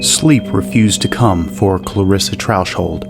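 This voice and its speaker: A man's voice: sits low, measured pace, vocal fry. A man reading scripted narration for a horror story. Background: music under the narration.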